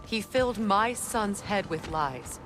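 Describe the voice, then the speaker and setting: A woman's voice: medium pitch, expressive, slow, music in the background, low-quality recording. A woman voicing a strong-willed middle-aged goddess in a video game, solemn, firm and wounded. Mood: urgent warning. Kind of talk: dramatic video game acting. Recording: clear background ambient noise.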